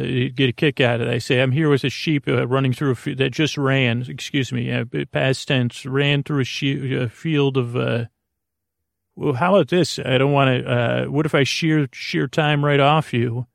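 A man hosting a podcast in a rambling, whimsical bedtime monologue, halting and stammering, trailing off mid-thought with deadpan, absurd asides. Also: mid-range voice, creaky, no echo, studio quality. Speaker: podcast host.

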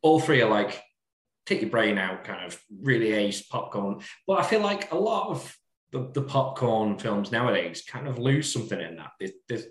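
A man co-hosting a podcast, measured and easygoing in casual chat. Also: fairly low pitch, sing-song, roomy sound. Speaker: podcast co-host.

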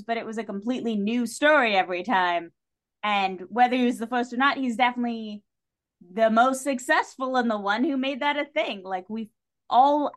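A woman's voice: on the high side, fairly bright tone. Effusive, opinionated woman podcast guest. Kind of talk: casual podcast discussion.

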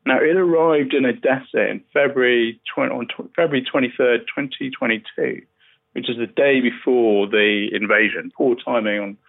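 A man's voice: mid-range voice, moderate pace, gravelly. A journalist, a man, giving spoken news analysis over a muffled remote connection. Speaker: newspaper journalist. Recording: dull, band-limited remote line.

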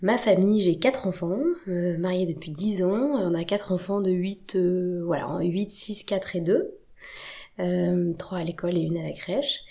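Woman in her 30s, low-ish voice, hesitant interview guest, many pauses. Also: steady tone, dark tone. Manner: hesitant, many fillers and restarts. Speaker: podcast guest. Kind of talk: conversational interview answers.

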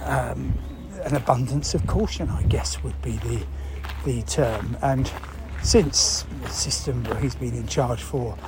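A man explains a news story in unscripted, halting talk, thinking aloud and posing rhetorical questions. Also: medium pitch. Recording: clear background noise.

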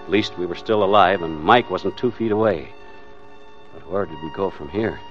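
An actor playing an anxious married hostage who narrates an old-time radio thriller in a wry, terse, hard-boiled way, with an American accent. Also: male voice, low-pitched, normal speed, soft background music, close to an everyday voice. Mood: tense, wry. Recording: muffled vintage radio recording.

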